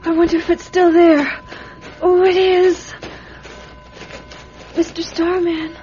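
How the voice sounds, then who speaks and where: A woman's voice: very high voice, flat delivery, slow, mellow, soft background music. A woman playing an earnest, curious nine-year-old farm girl in a radio drama, with a childlike, hesitant, pleading manner. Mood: earnest, worried. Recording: dull radio recording, faint noise.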